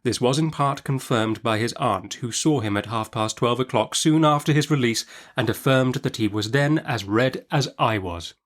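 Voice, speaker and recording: Male narrator, middle register, expressive literary storytelling for a classic novel audiobook. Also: mid-range voice, moderate pace.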